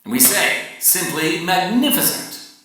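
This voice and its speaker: Man acting preachy, slow-talking lecturer in echoey hall. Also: high voice, very animated, sharp tone, exaggerated voice. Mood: preachy, savoring. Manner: emphatic, lecturing, deliberate pauses. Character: pompous, preachy man coaching realtors on proper words. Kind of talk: dramatic reading of a literary monologue. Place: large echoey venue, live reading. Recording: very echoey room, far from mic.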